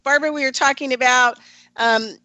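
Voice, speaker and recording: A woman's voice: high voice, fairly even intonation, moderate pace, pushed and bright, no room sound. A woman hosting a radio panel, casual and chatty with fillers as she asks long questions. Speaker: radio show host.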